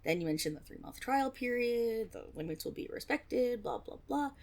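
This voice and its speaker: A woman's voice: a little high, lively intonation, moderate pace, a little mellow. A woman playing a flustered young tiefling rogue in a fantasy audio roleplay, stammering and blustering, cocky on the surface but unsure underneath. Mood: defensive, puzzled. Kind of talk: fantasy roleplay audio drama, one-sided dialogue.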